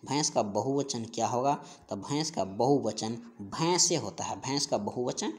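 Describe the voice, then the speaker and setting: A man's voice: mid-range voice, animated, a little slow. A man speaks as an exam-prep teacher. He poses questions and answers them himself. His delivery is explanatory and repetitive, restating key points for emphasis. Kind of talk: question-and-answer grammar lesson.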